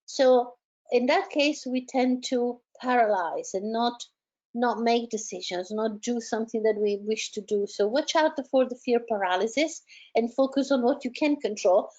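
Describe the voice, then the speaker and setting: Halting woman coach, slightly high voice. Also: a little mellow, muffled recording. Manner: hesitant, many repeated words and fillers. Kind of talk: advisory talk on coping with stress.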